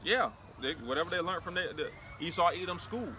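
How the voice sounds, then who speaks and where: A man's voice: on the high side, animated, a little fast, sharp tone, rough recording. A man co-preaching informally, reading scripture aloud and adding slangy interjections. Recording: outdoor recording with traffic noise.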